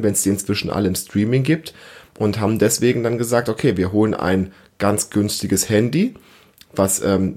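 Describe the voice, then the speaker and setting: A man around his thirties or forties hosting a casual family podcast, explaining things and gently prompting with questions. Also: fairly low pitch. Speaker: podcast host, father interviewing his child.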